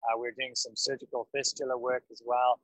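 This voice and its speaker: A man, a pediatric doctor, telling his story in a podcast interview in a calm, measured way, with some fillers. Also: mid-range voice, no echo, poor audio. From grew up in West Africa. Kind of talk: podcast interview, recounting experience.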